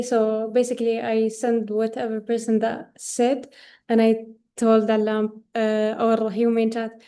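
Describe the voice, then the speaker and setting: A woman's voice: a little high, mellow. A woman giving a screen-share demo on a video call. She talks hesitantly, with many fillers, and slips in Arabic words. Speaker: workshop presenter.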